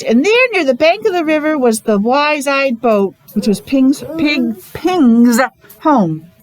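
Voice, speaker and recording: Animated high-voiced woman reading a storybook. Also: poor audio. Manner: expressive, explains and asks the listeners questions. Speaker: teacher reading a picture book to children. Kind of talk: animated read-aloud storytelling with asides to young children.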